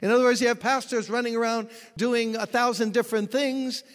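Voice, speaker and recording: A man's voice: very high-pitched, fairly even intonation, a little fast, fairly bright tone, big-room sound. A pastor preaching a sermon, explaining scripture and telling stories from his own ministry. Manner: expository preaching with personal stories.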